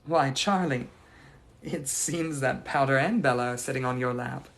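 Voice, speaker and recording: A man's voice: medium pitch, normal speed, creaky, fairly bright tone. A man voicing the ghost of a young lady from the 1880s, speaking in a formal, old-fashioned and gracious manner. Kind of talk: improvised live roleplay answering viewers.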